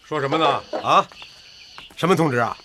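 Actor as earnest farmer father, lively higher-pitched voice. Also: moderate pace, noisy background, natural voice. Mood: curious, pleased. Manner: heartfelt, folksy, exclaiming. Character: earnest middle-aged farmer father with a stubborn dream. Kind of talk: Chinese radio drama acting. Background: music.

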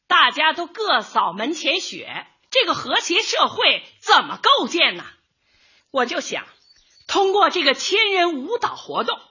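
An actress playing a cheerful, earnest older housewife and community organizer in a light comedy radio drama, chatty and expressive. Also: female voice, very high-pitched, muffled recording, exaggerated voice.

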